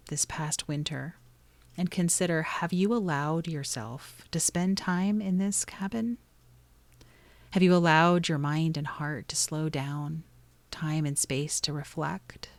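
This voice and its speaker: Low-pitched woman guiding a meditation. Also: no echo. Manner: soothing, gently instructive, pausing between short phrases. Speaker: meditation guide. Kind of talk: guided meditation narration.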